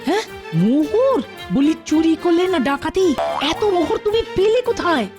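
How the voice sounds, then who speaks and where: A woman's voice: very high voice, expressive, normal speed, music in the background. A woman voicing a suspicious, nagging village housewife in an exclamatory cartoon dub. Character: blacksmith's wife, suspicious scolding village housewife.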